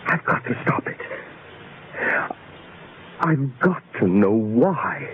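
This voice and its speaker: A troubled preacher and father in a radio horror drama. His voice is medium in pitch, grave and halting, full of earnest concern. The recording is narrow and tinny, with clear noise. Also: big pitch swings. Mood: anguished, determined.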